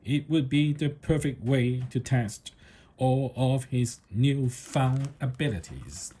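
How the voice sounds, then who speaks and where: A man reading a children's story aloud in a deliberate narrating style, with the odd small stumble over the words. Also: medium pitch, dark tone, a little hiss or hum. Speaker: storybook reader.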